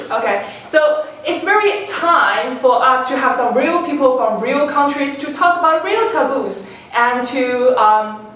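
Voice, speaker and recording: A woman hosting a casual panel discussion, chatty and quick to laugh. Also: sits high, a bit bright. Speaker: host. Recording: echoey room from far off.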